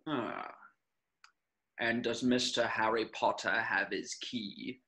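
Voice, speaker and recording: A man's voice: on the low side, slow pace, sharp tone, heavily acted. A man playing a clever, cool goblin bank teller in a screenplay table read. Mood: cool, disdainful.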